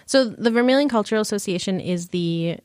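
A woman's voice: medium pitch, a little fast, a little mellow, no room sound, clean studio sound. A woman from Michigan, a guest in a casual interview, talking in a chatty way with lots of "like" and frequent laughs. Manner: chatty, laughs often, many "like" fillers.

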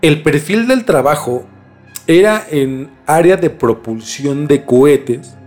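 Higher-voiced Mexican man telling a story. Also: lively intonation, slow pace, a little mellow. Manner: colloquial, slangy. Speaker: podcast host. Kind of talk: conversational storytelling mixed with scripted narration. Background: music.

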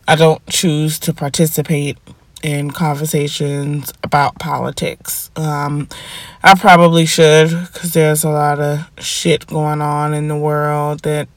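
A young woman chats through her solo podcast at an unhurried, drawn-out pace, her voice sitting a bit low and sounding congested and stuffy. She speaks frankly, with plenty of ums and pauses, into a close, dry mic. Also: dry recording. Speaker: podcast host. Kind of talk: casual solo podcast monologue.

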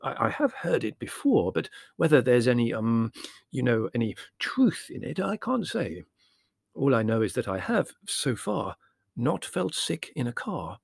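A man voicing a mild, judicious scholarly gentleman in a storybook, hesitant, halting and hemming as he talks. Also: mid-range voice, sing-song, creaky, husky, no echo, studio quality, exaggerated voice. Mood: cautious. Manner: slow, halting, hemming and stammering.

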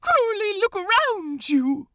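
A woman plays an anguished male angel in a dramatic story reading, gentle, selfless and stubborn. The voice is extremely high and swings expressively, slow and tenderly imploring. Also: big pitch swings, dry recording, clean studio sound, heavily acted. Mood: choked, apologetic. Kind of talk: dramatic fan fiction audiobook dialogue.

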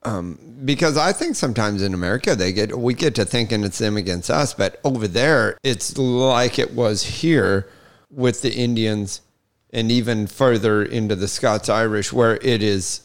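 A man's voice: fairly low pitch, normal speed. A man co-hosting a horse podcast, asking long, rambling questions and laughing often. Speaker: podcast co-host. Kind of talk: casual interview chat.

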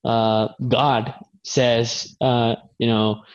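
A man with a Colombian accent hosts a loose online chat, his voice at an ordinary middle pitch. He talks chattily, trips over his words and restarts them, and pokes fun at himself. The video call audio sounds dull and lo-fi. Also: flat delivery, extremely slow. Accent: Colombian Spanish. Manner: chatty, stammering restarts, self-deprecating. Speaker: host.

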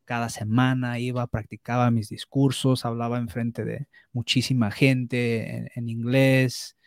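A man's voice: medium pitch, level tone, measured pace, dry recording, studio quality. A young man, talking candidly on a podcast, hesitant, with restarts and slips into English words. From United States. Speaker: podcast co-host. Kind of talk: casual podcast conversation.

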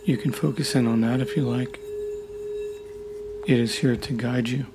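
Man guiding meditation over loud humming tones. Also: medium pitch, steady tone, noisy background. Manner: soothing, deliberate, with long pauses between short phrases. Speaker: meditation guide. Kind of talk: guided meditation, gentle instruction. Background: gentle music with sine tone and singing bowls.

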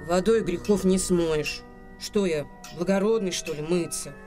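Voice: mid-range voice, unhurried, mellow, lightly acted. An actor playing a sullen, skinny servant boy who grumbles stubbornly in rustic, pious speech in a radio drama. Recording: music behind the voice.

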